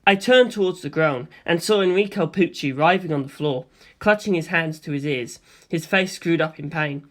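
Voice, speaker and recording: A narrator reading a first-person story in an expressive, theatrical way. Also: medium pitch, normal speed. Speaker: audiobook narrator.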